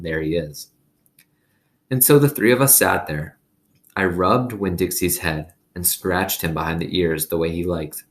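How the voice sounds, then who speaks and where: A man with a low-pitched voice reads a children's novel aloud. He narrates expressively, as a storyteller would for young listeners.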